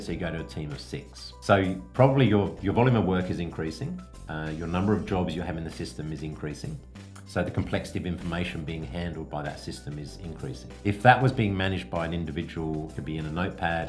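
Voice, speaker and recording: A man with a very low voice speaks as a business coach hosting a podcast. He explains conversationally, working step by step through examples. Faint music plays behind him. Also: darkish tone.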